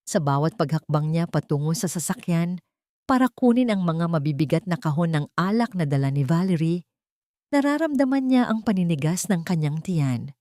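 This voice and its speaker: A woman's voice: on the low side, expressive, moderate pace, dark tone, no room sound, clean studio sound. A woman reading a scripted, dramatic story as an audio drama narrator.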